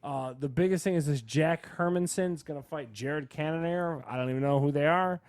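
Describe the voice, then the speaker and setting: Guy podcasting, kinda higher voice, slangy casual chat, really dry sound no room. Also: a little fast, darkish tone, no echo. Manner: informal, slangy. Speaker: podcast co-host. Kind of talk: casual chat about sports and streaming news.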